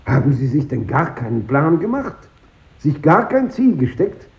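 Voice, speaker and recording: Animated, gravelly schoolteacher. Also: male voice, a little high, normal speed. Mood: exasperated. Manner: insistent, questioning, formal. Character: earnest, probing male schoolteacher. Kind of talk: 1950s German radio drama acting. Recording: muffled old radio recording.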